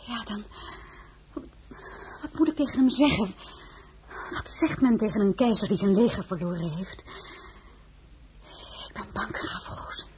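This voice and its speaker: A woman playing a composed, plain-spoken highborn lady in a radio drama, formal and courteous. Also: lively intonation, normal speed, pauses often, a little mellow, a little hiss or hum, close to an everyday voice. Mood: anxious. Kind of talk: Dutch-language radio drama acting.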